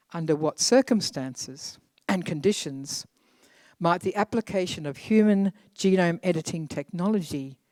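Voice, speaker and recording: A facilitator calmly briefing a group, speaking in an instructive way. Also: mid-range voice, no room sound. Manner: calm, instructive.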